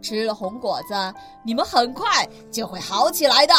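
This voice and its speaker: A woman voicing a gentle, fatherly T. rex who soothes and scolds his babies softly in a storybook. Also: fairly high pitch, very animated, normal speed, faint music behind. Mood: tender.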